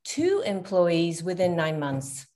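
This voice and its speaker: A middle-aged woman with an American accent, a business founder guest on a podcast call, telling her story in an animated, chatty way. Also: fairly low pitch, slow pace, crowd noise. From United States. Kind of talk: casual interview over a call.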